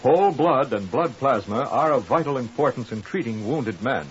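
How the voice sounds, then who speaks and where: A man's voice: mid-range voice, expressive, moderate pace. A man announcing in formal, earnest 1950s radio style, reading from a script. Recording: muffled, hissy 1950s radio recording.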